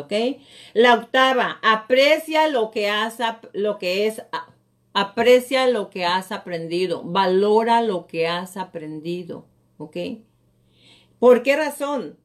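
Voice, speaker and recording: A middle-aged woman, giving an emphatic motivational talk as a coach on a live show, often repeating phrases. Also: medium pitch. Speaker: real estate coach and live show host.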